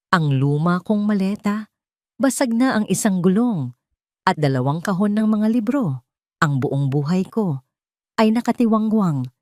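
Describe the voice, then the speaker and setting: A woman's voice: fairly low pitch, a little mellow, no echo, studio quality. A woman with a Filipino accent reading a scripted first-person story in an emotive, solemn, dramatic way. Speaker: audio drama narrator.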